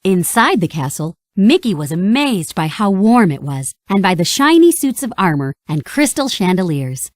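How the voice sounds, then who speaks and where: A woman reading a children's read-along story in an expressive, scripted storytelling style. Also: medium pitch, moderate pace, few pauses, no room sound, studio quality. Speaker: storybook narrator.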